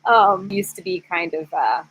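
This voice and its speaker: A woman in her thirties chatting casually to viewers on a live stream, candid, animated and rambling, speaking on a screened porch. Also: lowish voice, measured pace, husky, rough recording. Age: 30s. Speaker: YouTube host, former teacher.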